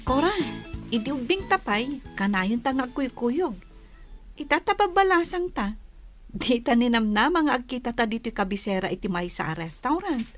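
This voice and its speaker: A woman with a Filipino accent playing a plucky, sharp-tongued young country woman in a comedy drama. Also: on the high side, animated, moderate pace, soft background music, natural voice. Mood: friendly.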